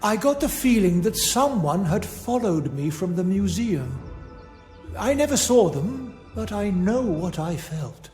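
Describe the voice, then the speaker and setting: A man's voice: high-pitched, very animated, measured pace, a little mellow, echoey room. A man voicing a blunt, pious older bishop in a video game, haughty and curt in manner. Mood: guarded, indignant. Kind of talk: scripted video game dialogue acting. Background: music.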